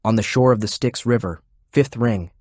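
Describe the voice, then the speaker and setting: A man's voice: medium pitch, normal speed, a little mellow, no room sound. A man reading scripted fiction as an audiobook narrator, close to the microphone.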